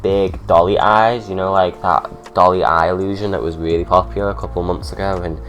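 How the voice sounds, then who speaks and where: A man's voice: low voice, fairly even intonation, brisk, a bit bright, faint music behind. A young man with a stuffy cold, chatting sarcastically through a drag makeup tutorial at home. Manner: chatty, sarcastic, ranting asides.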